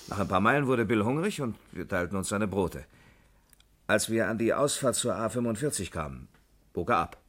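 An actor playing a sheepish, defensive company employee under questioning in a 1960s German radio crime drama, explaining himself hesitantly. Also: male voice, fairly low pitch, lively intonation, normal speed, a bit bright.

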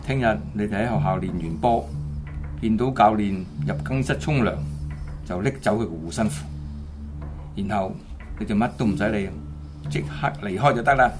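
Man acting vengeful low-voiced male student ghost. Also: moderate pace, pauses often, natural voice. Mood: commanding. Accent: Hong Kong Cantonese. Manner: menacing, taunting, commanding. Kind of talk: Cantonese radio drama acting. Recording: loud background music under the voice.

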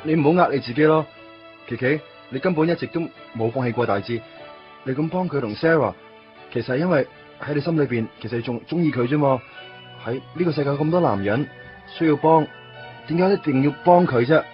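A man's voice: fairly high pitch, fairly quick, natural voice. An actor playing a timid, earnest young man in a radio play, speaking Hong Kong Cantonese and stammering over his words. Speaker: supporting character in a radio play. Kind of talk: 1990s radio drama acting. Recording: dull lo-fi radio recording with music.

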